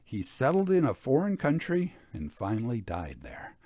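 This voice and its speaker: A man giving a formal, measured and expressive storytelling read of an old Victorian ghost account. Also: mid-range voice, no echo. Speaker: audiobook reader. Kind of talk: dramatic scripted narration of a Victorian ghost account.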